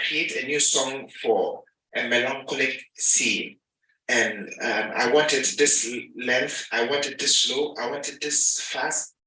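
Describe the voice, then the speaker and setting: A man with a Ghanaian accent speaking to an audience, animated and acting out the lines of the people he quotes. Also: mid-range voice. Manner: animated, acts out dialogue. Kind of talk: lively talk to an audience. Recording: echoey hall, mic at a distance.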